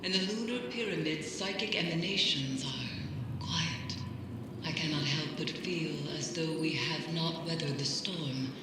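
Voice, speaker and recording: A woman voicing a grave, foreboding mystic advisor in a video game, speaking in a portentous, formal and measured way. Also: low-pitched, background noise. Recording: very echoey, long reverb, loud game ambience.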